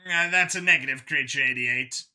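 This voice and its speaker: A man's voice: medium pitch, moderate pace, bright, forceful tone. A man playing a cool, efficient mission handler talking matter-of-factly over comms in an audio story.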